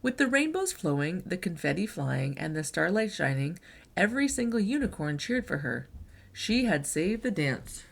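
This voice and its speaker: A woman reading a children's picture book aloud in an expressive storyteller's delivery. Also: lowish voice, normal speed, gravelly, husky.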